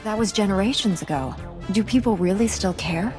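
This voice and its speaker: A woman's voice: mid-range voice, animated, fairly quick, close to an everyday voice. Woman acting earnest young female Jedi over music. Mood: sincere. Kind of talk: dramatic video game voice acting.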